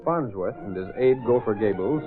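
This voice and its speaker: A man's voice: lowish voice, lively intonation, normal speed. A man narrating an old radio serial in a formal, dramatic announcer's style. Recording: muffled narrow-band old radio recording. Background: music.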